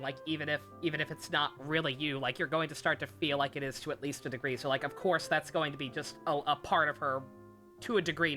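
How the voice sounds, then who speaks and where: A woman's voice: sits low, bright, forceful tone. A woman chatting casually on a game livestream, rambling and blunt, with some swearing.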